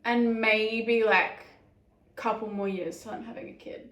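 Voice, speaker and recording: Aussie girl in her twenties, chatty and giggly, kinda high voice, echoey room. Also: fairly even intonation, a little slow, a bit bright, muffled recording. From Australia. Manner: chatty, giggly, lots of "like" fillers. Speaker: YouTuber answering fan questions. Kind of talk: casual Q&A chat.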